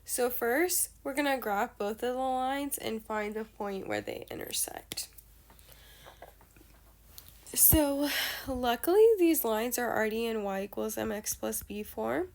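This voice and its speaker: Young female teacher, a bit stuffy and nasal, higher voice, patient walkthrough with fillers. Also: a little slow, a little mellow. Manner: patient step-by-step explaining, many fillers. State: nasal from allergies. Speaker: math teacher. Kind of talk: explaining a math lesson.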